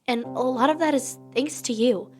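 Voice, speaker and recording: A woman's voice: high voice, moderate pace, soft background music, lightly acted. A woman playing a defiant, loyal young heroine in an audio drama, earnest and emotional. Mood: sincere, hesitant.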